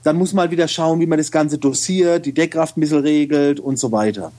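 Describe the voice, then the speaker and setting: Man, regional German dialect, high-pitched voice, chatty and loose, guest in a podcast interview. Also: brisk, mellow. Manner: chatty, loose, many fillers and restarts. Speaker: guest, photo retoucher and video trainer. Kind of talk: casual interview talk.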